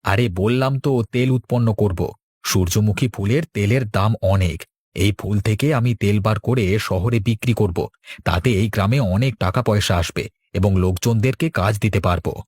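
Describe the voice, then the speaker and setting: A man's voice: fairly low pitch, normal speed, a little mellow, husky, dry recording, clean studio sound. An actor playing an earnest, talkative village man in a cartoon, exclaiming and explaining. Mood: confident. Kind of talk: Bengali cartoon dialogue.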